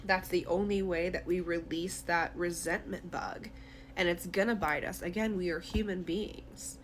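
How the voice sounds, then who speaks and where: A woman's voice: lowish voice, steady tone, normal speed, a little hiss or hum. A woman tarot reader speaking directly to the listener in a conversational, reassuring, therapy-minded way during an intuitive reading.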